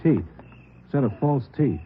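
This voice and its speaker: An actor playing a practical, matter-of-fact police investigator at work in an old radio drama. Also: male voice, mid-range voice, animated, unhurried, lightly acted. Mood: businesslike. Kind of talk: 1940s radio acting. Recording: dull old radio recording, noisy.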